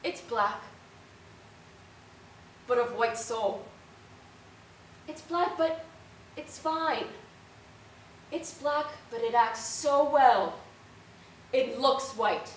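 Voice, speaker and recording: Actress as earnest young woman, stage drama, slow delivery, emphatic, high voice, distant roomy recording. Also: a little hiss or hum. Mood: impassioned, mournful. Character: young Puerto Rican-American granddaughter, earnest, conflicted about heritage. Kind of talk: theatrical stage acting. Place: indoor stage, recorded from far off.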